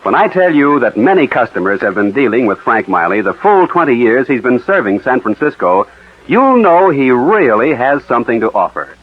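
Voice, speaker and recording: A man's voice: medium pitch, sing-song, moderate pace, breathy edge. An American man announcing for a sponsor on 1940s radio, with a polished, emphatic sales delivery. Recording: dull, muffled 1940s radio recording.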